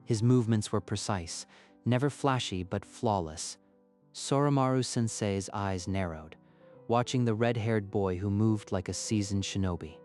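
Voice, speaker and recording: A man's voice: on the low side, a little slow, darkish tone, faint music behind. A man narrating a scripted story in a dramatic style.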